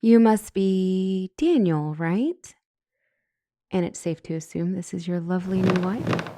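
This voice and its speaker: A woman's voice: lowish voice, a little slow, dark tone, dry recording, clean studio sound, natural voice. A woman playing a flustered, smitten couples counselor who stumbles and corrects herself in a comedic audio roleplay. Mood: weary, professional. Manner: stammering, awkwardly self-correcting. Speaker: doctor and counselor.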